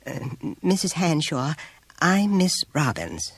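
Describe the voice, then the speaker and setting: A man's voice: mid-range voice, very animated, unhurried, slightly rough, no echo, pitched above the performer's own voice. A man voicing an anxious, polite woman schoolteacher with a hesitant, delicate and apologetic manner. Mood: diffident, nervous.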